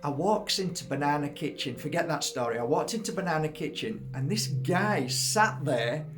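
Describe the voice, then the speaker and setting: Rambling, excited man over faint music. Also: fairly high pitch, normal speed. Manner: rambling, enthusiastic, many fillers and restarts. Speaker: vlogger. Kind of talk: casual testimonial monologue.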